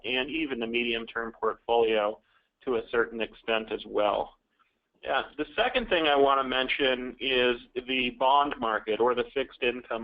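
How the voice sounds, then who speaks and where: A man presenting an investment report as a chief investment officer on a conference call, in a measured, businesslike way. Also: mid-range voice. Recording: slightly dull conference-call audio.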